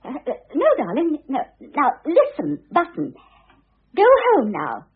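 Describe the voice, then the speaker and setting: An actress playing a weepy, scheming wife in an old radio play, cooing and pleading melodramatically. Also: female voice, sits high, huge pitch swings, measured pace, full character voice. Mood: sweet, cajoling. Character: weepy, scheming young wife eloping with her lover. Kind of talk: classic radio play acting. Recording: muffled, narrow-band old radio recording.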